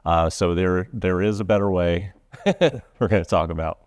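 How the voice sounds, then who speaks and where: A man speaking informally as a guest professional, halting and rephrasing as he goes. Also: low voice, darkish tone, no room sound, clean studio sound. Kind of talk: informal first-hand account.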